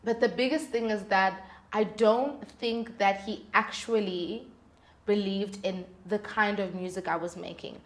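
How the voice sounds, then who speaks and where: A woman's voice: medium pitch, steady tone, measured pace. A woman, speaking as a musician guest in candid, conversational interview storytelling. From South Africa.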